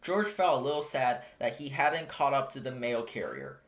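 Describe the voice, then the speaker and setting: A storybook reader giving an expressive read-aloud narration for children. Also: medium pitch, moderate pace.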